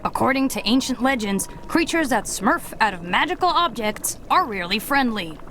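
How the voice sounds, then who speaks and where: A woman's voice: fairly high pitch, animated, moderate pace, a bit bright, slight background noise. A woman voicing a bold, no-nonsense young female Smurf in a cartoon video game, confident and forthright. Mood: firm, skeptical. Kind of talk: animated video game voice acting.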